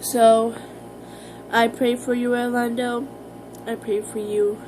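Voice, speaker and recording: An American woman talking casually to her viewers in an informal, halting, rambling vlog style. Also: on the high side, little pitch movement, over music, poor audio. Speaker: vlogger.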